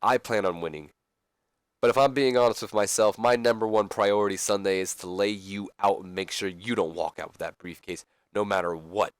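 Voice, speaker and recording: A man's voice: on the low side, normal speed, clear, resonant tone, no echo, close to an everyday voice. A man playing a determined, vengeful wrestler who speaks in a firm, threatening promo style.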